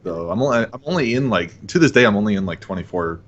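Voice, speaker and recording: Low-voiced young man chatting casually. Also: expressive, fast, lo-fi sound. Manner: hesitant, rambling, self-amused with frequent chuckles. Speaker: interview guest, online voice actor. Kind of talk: casual chat.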